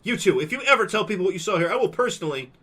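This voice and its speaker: A man doing a shrill, explosive dino girl. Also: fairly even intonation, fast, lightly acted. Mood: anxious, threatening. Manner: shrill screaming outbursts. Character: volatile young dinosaur girl, tearful and explosive.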